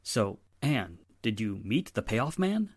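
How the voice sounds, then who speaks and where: A woman acting a steady, earnest male police inspector in a 1940s-style radio drama. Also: extremely low pitch, slow, breathy edge, no echo, studio quality, heavily acted. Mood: explanatory.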